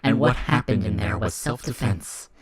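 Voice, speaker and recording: A man voicing a cold, mocking, demanding child of darkness in an audio story, speaking in formal, taunting, deliberate phrases. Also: fairly low pitch, lively intonation, a loud crowd, lightly acted. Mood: cold, defiant. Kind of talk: dramatic audio fiction character reading.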